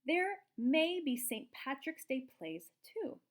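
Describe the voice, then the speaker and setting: A woman reading a picture book aloud to young children in an expressive, explanatory way, like a teacher. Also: high-pitched, takes it slowly. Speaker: children's storybook reader, teacher.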